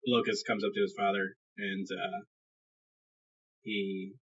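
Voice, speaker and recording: Husky dude running a dnd game, describing scenes off the cuff, slightly muffled podcast sound. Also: male voice, fairly low pitch, flat delivery, fairly bright tone. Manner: improvised, halting descriptive storytelling. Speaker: game master. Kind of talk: narrating a tabletop game.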